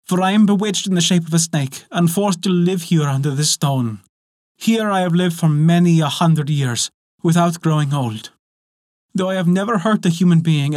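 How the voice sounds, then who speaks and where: A man's voice: moderate pace, a little mellow, no room sound, studio quality, lightly acted. A man putting on a sweet, pleading young princess. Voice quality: sweet, high, imploring.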